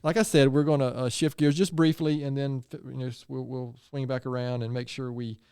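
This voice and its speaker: A man's voice: medium pitch, level tone, fairly quick, mellow, clean tone, dry recording, clean studio sound. A man hosting a podcast, friendly and chatty, with rambling asides as he asks questions. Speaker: podcast host. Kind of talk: casual interview chat.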